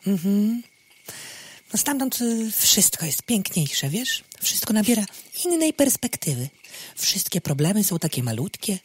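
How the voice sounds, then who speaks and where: A woman's voice: mid-range voice, sing-song, a little slow, husky. A woman playing a carefree, starry-eyed moth in an audio drama, airy and naive. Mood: dreamy, serene.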